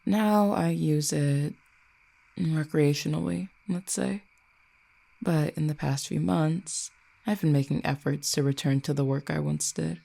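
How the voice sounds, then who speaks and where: An actor as a haughty, creaky-voiced ancient cupid. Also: mid-range voice, fairly even intonation, warm tone. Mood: intrigued, condescending. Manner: haughty, deliberate, menacingly teasing. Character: ancient, possessive, haughty cupid lover with telepathy. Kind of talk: scripted audio roleplay, acted monologue.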